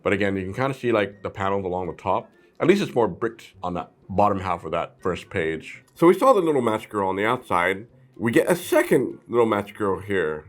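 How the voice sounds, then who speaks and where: A man's voice: fairly low pitch, very animated, a little fast. A man hosting a casual, chatty product review, talking informally and playfully straight to his viewers. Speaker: host of a brick-set review channel.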